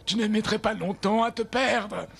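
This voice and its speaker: A man's voice: high voice, steady tone, normal speed, vocal fry, a bit bright, a little hiss or hum. A man playing an anxious, careworn village doctor with a grave manner in a French cartoon dub. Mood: worried. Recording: music behind the voice.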